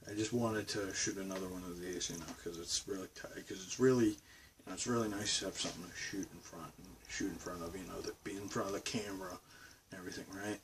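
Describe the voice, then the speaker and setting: A man's voice: sits low, steady tone, quick pace, hardly any pauses, far from the mic, slight background noise, rough recording. A man with a Boston accent rambling casually to his camera from his bedroom studio. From Massachusetts, USA. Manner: rambling, meandering talk. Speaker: vlogger.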